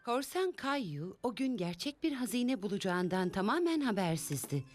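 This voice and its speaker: A woman playing the warm storybook narrator of a preschool cartoon, reading scripted children's narration. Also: mid-range voice, very animated, normal speed, close to an everyday voice. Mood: storytelling. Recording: faint music behind.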